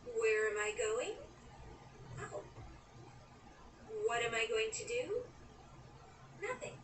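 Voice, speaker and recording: Wistful girlish young woman in audio drama, high voice, roomy noisy recording. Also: big pitch swings, takes it slowly, distant mic. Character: wistful, dreamy young woman narrating her own story. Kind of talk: audio drama acting with first-person narration.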